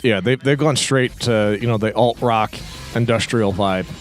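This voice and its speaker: A man with a mid-pitched voice talks as a podcast co-host in a casual, emphatic way. Music plays quietly in the background. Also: measured pace.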